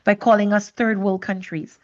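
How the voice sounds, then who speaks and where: A woman's voice: medium pitch, flat delivery, moderate pace, dull audio. A woman from Trinidad and Tobago speaking reflectively and conversationally as a podcast host, often repeating words as she searches for phrasing.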